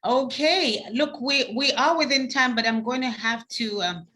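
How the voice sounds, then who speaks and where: A woman's voice: high-pitched, unhurried, pushed and bright. A woman whose first language is Swahili, hosting and closing a webinar over a video call in an upbeat way. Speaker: webinar host.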